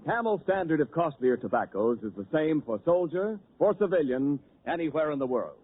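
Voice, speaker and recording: A man reading a radio commercial, smooth and emphatic. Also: a little high, animated, a little fast. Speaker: announcer. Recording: lo-fi 1940s radio broadcast.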